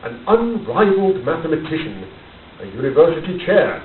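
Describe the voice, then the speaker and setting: Guy doing a guarded gentleman detective in an old london radio play, dramatic n deliberate, roomy and tinny sound. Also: fairly high pitch, very animated. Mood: grave, admiring. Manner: formal, grave, deliberate, dramatic. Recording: tinny band-limited, roomy, distant, faint hiss.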